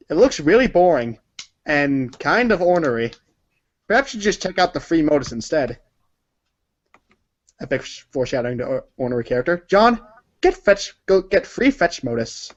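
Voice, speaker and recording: A man's voice: a little high, sing-song, normal speed, long pauses, highs cut off. A man narrating a comic read-along story in the second person.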